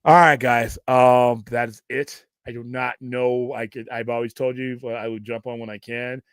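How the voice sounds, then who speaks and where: An American man with a gruff voice hosts a casual livestream, talking in a rambling, off-the-cuff way and swearing casually. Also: mid-range voice, little pitch movement, normal speed, no room sound. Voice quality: gruff, self-described Cookie Monster voice. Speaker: host of a pop culture livestream show. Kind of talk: casual livestream chat, question and answer.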